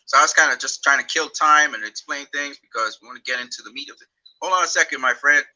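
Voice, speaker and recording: A man's voice: mid-range voice, fast, pushed and bright, low-quality recording. A man from Trinidad and Tobago hosting a casual livestream chat on his phone, rambling and repeating words as he goes. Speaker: livestream host.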